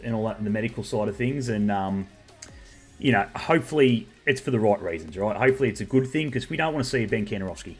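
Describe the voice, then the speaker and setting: Chatty Australian podcast host, low voice. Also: male voice, lively intonation, fast talker, slightly rough, soft background music. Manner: rambling and chatty, many fillers. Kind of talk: casual chat.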